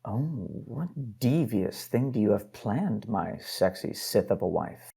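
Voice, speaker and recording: A man acting in an audio drama as a teasing, perceptive husband, with a gravelly, husky voice. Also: lowish voice, lively intonation, takes it slowly, dark tone, exaggerated voice. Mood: wry, teasing.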